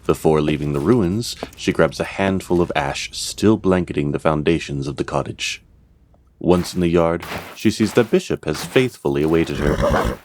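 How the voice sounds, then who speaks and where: A man narrating a scripted fantasy audio drama as its storyteller, in a measured, theatrical way. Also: a bass voice, a little mellow, a little hiss or hum. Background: music.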